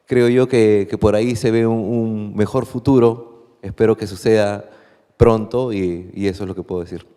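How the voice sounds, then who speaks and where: Smooth, lowish Peruvian man in a hall. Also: fairly even intonation, normal speed, warm tone. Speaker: guest panelist, medical doctor. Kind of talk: unscripted conference panel report. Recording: echoey hall with long reverb.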